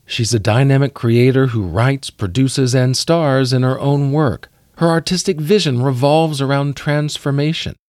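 A man's voice: medium pitch, no echo, studio quality. A middle-aged man hosting a podcast, moving between prepared narration and interview talk. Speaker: podcast host.

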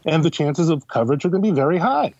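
A man with a slightly high voice explains things as an interview guest. He talks conversationally, setting up questions and answering them himself. The audio is dry and a little dull. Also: expressive, fast, darkish tone, husky, dry recording. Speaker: guest, critic and editor. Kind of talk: casual explanatory interview talk.

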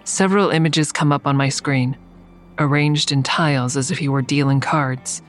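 A woman's voice: low voice, steady tone, moderate pace, soft background music, close to an everyday voice. An actress in an audio drama playing an exhausted, defiant woman who tells of her ordeal in a grim, blunt way. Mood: weary, grim. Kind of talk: first-person dramatic narration in audio drama.

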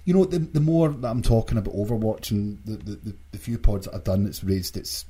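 A man's voice: on the low side, brisk, dark tone, husky. A British man hosting a casual video game podcast, sometimes stammering and repeating his words. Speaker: podcast host.

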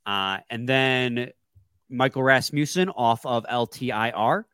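A man's voice: mid-range voice, takes it slowly, a bit bright, clear, resonant tone, no echo, clean studio sound. A man with a stuffy, congested voice, hosting a casual sports podcast with laid-back, joking banter. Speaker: podcast co-host.

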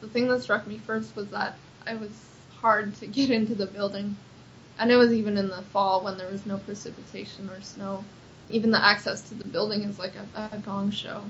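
A woman's voice: mid-range voice, level tone, a little fast, slight background noise. A young adult woman, an activist answering interview questions in an earnest, chatty way with frequent "like" fillers. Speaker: accessibility activist. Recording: slightly dull lo-fi recording.

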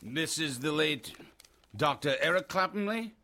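An actor playing a skeptical, blunt interrogator questioning a witness. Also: male voice, on the high side, lively intonation, measured pace, pushed and bright. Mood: probing. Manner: probing, blunt.

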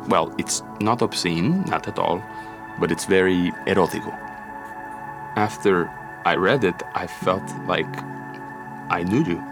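An actor playing a melancholy, awkward businessman with a hesitant, halting delivery in an intimate audio drama. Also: deep voice. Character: melancholy, awkward businessman confiding his past. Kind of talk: intimate audio fiction acting. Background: music.

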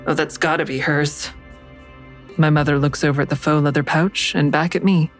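Blunt, guarded female lead, acted: deep-voiced, dry first-person narration and dialogue for audio drama. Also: steady tone, moderate pace, faint music behind, natural voice. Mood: wary, sardonic. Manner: dry, terse. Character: guarded, blunt, defiant woman narrating her story.

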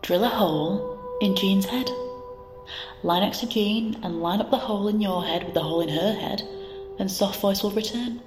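A woman playing a manipulative, flattering inner voice in an audio drama, coaxing and mock-polite, with a reverberant effect. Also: mid-range voice, moderate pace. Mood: cold, blunt. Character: manipulative female inner voice, smooth, flattering, dark and sly. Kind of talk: scripted audio drama acting. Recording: echoey reverberant hall, music behind.

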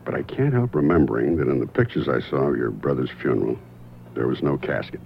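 An actor playing a probing, wry private investigator in a 1970s radio detective drama. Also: male voice, very deep voice, expressive, fast, gravelly, slight background noise, heavily acted. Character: probing, laconic male private investigator narrating his case. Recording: muffled radio recording under loud music.

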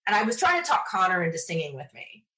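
A woman's voice: on the low side, very animated, fast, bright, forceful tone. A woman from the United States chatting enthusiastically as a podcast interview guest, telling stories in a casual way. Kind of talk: casual interview chat, animated anecdotes.

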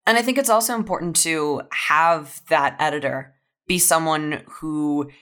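A woman's voice: low voice, measured pace, a bit bright. A woman speaking as a writer guest in a casual panel discussion, emphatically repeating words for stress. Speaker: podcast guest, audio fiction writer.

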